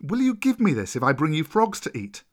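A man's voice: on the high side, wildly swooping pitch, fairly quick, voice pushed up. A man voicing a bold, courteous jungle-raised boy in a storybook, with a direct, spirited manner. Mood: bold, curious. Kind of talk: storybook character voice.

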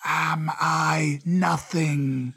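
Ancient menacing cobra, agonizingly slow. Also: male voice, a little high, fairly even intonation, vocal fry, pushed and bright, husky, voice pushed up, exaggerated voice. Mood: haughty, suspicious. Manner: slow, drawn-out, ominous delivery. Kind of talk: storybook character voice.

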